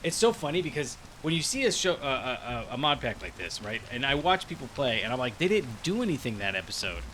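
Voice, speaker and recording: A man's voice: mid-range voice, very animated, fast talker, fairly bright tone, slight background noise. A man giving casual, rambling commentary while playing a video game, thinking aloud with many restarts. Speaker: gaming video creator. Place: cold room with a heater.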